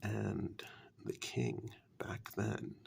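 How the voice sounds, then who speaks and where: Man playing a slow, gravelly ancient warlock. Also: on the low side, lively intonation, a little mellow, slight background noise, full character voice. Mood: ominous, proud. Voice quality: gravelly, breathy half-whisper. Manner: slow, deliberate, condescending, with long pauses. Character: ancient powerful male warlock, no longer human, calm and menacing. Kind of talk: soft-spoken ASMR fantasy role-play.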